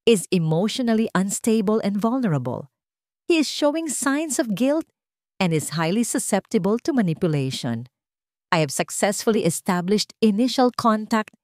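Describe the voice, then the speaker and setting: A guarded young Filipino policewoman working undercover, played by a woman narrator. She speaks in a measured, careful way, conflicted but controlled, as in an audio storybook, with a very dry sound. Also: mid-range voice, a little mellow, no room sound, pitched above the performer's own voice, close to an everyday voice.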